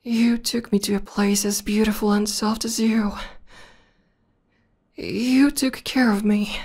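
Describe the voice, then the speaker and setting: A woman playing a tough tomboy demoness who is a devoted lover, speaking softly and haltingly in an intimate, breathy ASMR roleplay. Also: medium pitch, fairly even intonation. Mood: emotional, adoring. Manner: soft, breathy, halting and earnest delivery, often dropping to whispers.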